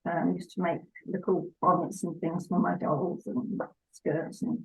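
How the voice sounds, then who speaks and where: An older woman from Salford, England, chatting as a guest in an unhurried way with hesitant fillers. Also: lowish voice, level tone, gravelly, a little mellow. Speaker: guest artist. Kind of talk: relaxed interview chat. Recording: dull lo-fi sound.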